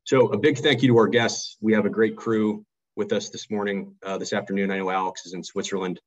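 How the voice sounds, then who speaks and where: A man's voice: lowish voice, little pitch movement, a little fast, highs cut off. A man hosting a webinar, reading a short scripted intro and then moderating with fillers and restarts. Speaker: webinar host.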